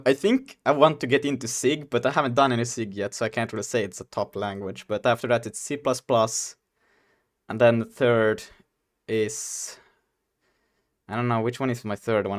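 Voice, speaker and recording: Young guy rambling to his stream chat, laughs a lot, mid-pitched voice. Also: steady tone, moderate pace. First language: Swedish. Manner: giggly, rambling, talks to chat. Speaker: game dev streamer. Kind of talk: casual live-stream chat.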